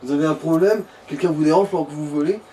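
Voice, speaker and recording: A man's voice: a little high, quick pace, dark tone. A man chatting casually as a companion commenting on a video game. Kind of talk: casual chat. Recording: distant from mic, background noise.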